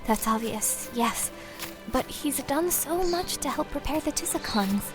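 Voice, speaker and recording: A man voicing a stern, honorable female ninja master in a fantasy story, with a firm, sharp, admonishing manner. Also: very high-pitched, animated, measured pace, over music, pitched above the performer's own voice. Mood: insistent.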